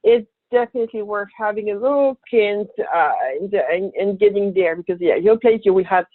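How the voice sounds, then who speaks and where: A woman's voice: mid-range voice, moderate pace, dry recording. A woman speaking for a cruise line on a video call, upbeat and enthusiastic. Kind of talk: casual webinar talk. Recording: tinny phone-like call audio.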